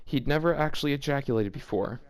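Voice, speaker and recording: A man's voice: mid-range voice, fast, smooth. A man hosting a casual read-aloud, often breaking off to comment in amused, exasperated disbelief. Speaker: host reading fan fiction aloud for a video.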